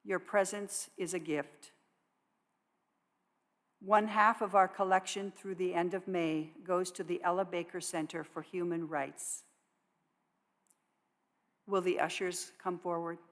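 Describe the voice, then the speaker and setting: Low-voiced woman in a reverberant hall. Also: steady tone, measured pace, long pauses. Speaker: worship associate reading church announcements. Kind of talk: scripted announcements to a congregation. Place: church sanctuary. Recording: long reverb of a large hall.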